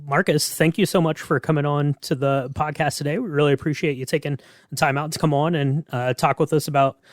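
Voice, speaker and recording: A man's voice: on the high side, steady tone, fairly quick, no echo, clean studio sound. A man hosting a podcast in a casual, conversational way. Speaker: podcast host. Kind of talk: casual chat.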